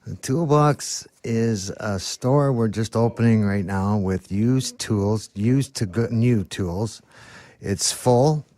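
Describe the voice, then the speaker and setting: A man's voice: lowish voice, slow pace, mellow. A middle-aged man gives plain-spoken answers as a guest in a radio interview. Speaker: radio guest, store manager.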